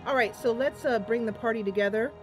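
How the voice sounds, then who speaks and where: A woman's voice: fairly high pitch, quick pace, faint music behind. A woman giving casual, chatty let's play commentary, thinking aloud and reacting as she plays. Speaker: gaming channel host.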